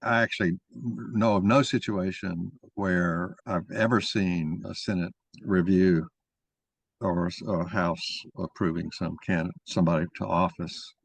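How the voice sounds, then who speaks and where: A man arguing a point in informal discussion, halting and rephrasing as he goes as the moderator. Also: deep voice, steady tone, creaky, slightly rough, dry recording. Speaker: moderator and main speaker of a mock-trial discussion.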